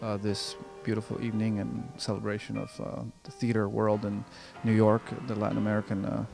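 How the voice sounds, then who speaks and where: A man's voice: fairly low pitch, completely flat delivery, brisk, creaky, over music. A young adult man from Colombia, a composer and pianist answering interview questions in a hesitant way, with many restarts and fillers. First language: Spanish.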